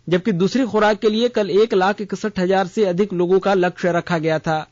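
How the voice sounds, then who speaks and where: A man reading a scripted regional news bulletin in a formal, measured way. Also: high-pitched, darkish tone, dry recording. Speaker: radio news reader. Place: radio studio. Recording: slightly dull, band-limited radio audio.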